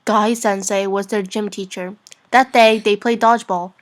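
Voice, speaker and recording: A woman's voice: mid-range voice, steady tone, moderate pace. A woman narrating fan fiction in an informal, amateur reading-aloud style. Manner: giggly, informal.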